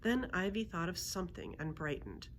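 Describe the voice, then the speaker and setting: Librarian lady reading a kids book out loud, lowish voice, super animated, bit noisy behind her. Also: normal speed. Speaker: librarian storyteller. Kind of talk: children's story read-aloud narration.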